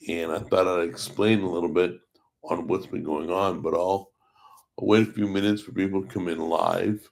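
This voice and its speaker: A middle-aged man with a hoarse voice, chatting casually with viewers as host of a live cooking stream. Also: low-pitched. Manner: rambling, chatty, greets viewers by name. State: hoarse from a paralyzed vocal cord. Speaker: host of a cooking channel livestream.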